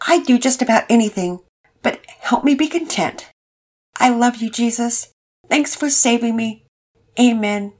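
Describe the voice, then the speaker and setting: A woman's voice: fairly high pitch, a little slow, voice pushed up. A woman voicing an earnest, eager teenage boy who stammers when moved. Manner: enthusiastic, stammering.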